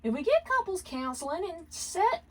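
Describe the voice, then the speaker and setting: Woman playing manipulative ex-wife, high voice, brash, defensive, pleading, fan fiction drama. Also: very animated, normal speed. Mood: pleading, singing. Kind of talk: dramatic fan fiction reading, partly sung.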